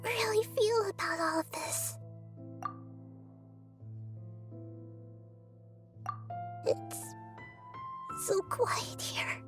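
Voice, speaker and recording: Little girl goddess, very high soft breathy voice, slow, gentle, thoughtful, self-doubting, game cutscene, music behind. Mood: lonely doubt. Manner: hushed, introspective, hesitant. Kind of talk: video game voice acting, inner monologue.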